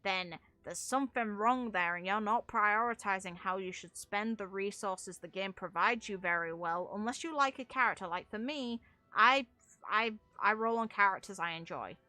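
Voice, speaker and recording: A woman's voice: medium pitch, moderate pace, sharp tone, no room sound. A young woman giving casual, opinionated game commentary in a blunt way, swearing freely. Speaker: gaming content creator.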